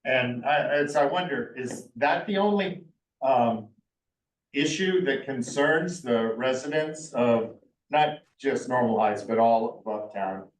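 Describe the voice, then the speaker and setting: A man speaking up at a community planning meeting, asking questions with frequent pauses and restarts. His voice carries from a distance across a reverberant meeting room. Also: mid-range voice. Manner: hesitant, questioning, many fillers. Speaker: public commenter. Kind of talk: public comment at a community meeting. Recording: echoey room, distant mic.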